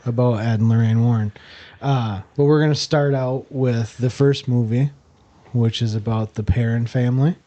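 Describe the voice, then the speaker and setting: Host: mellow, warm-toned man, halting delivery with frequent uhs. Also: mid-range voice, fairly even intonation, highs cut off. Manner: hesitant, many uhs and restarts. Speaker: podcast host. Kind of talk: casual chat, running the intro.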